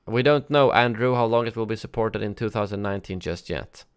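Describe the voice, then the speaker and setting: A man's voice: on the low side, a little fast, clean tone. A man hosting a gaming news livestream, chatting casually and answering viewers' chat with frequent fillers and self-corrections.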